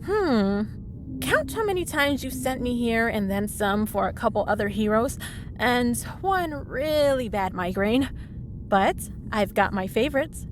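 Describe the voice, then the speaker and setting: A woman's voice: mid-range voice, lightly acted. A woman playing a cheeky, smug female villain in a comedic audio roleplay, with breezy, sarcastic teasing. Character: cheeky, smug woman supervillain, frequent hospital patient.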